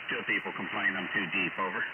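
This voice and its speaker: A man from Texas with a deep voice, calling in over amateur radio in a relaxed, chatty way. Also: fast. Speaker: radio caller. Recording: muffled shortwave radio signal with heavy noise.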